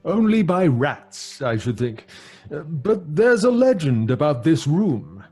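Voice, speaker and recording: An actor with a British accent playing a middle-aged father who tells grim tales in a measured, genial way for an old-fashioned radio drama. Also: a little high, sing-song, a little mellow, husky, faint music behind, full character voice. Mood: grave storytelling. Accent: British English.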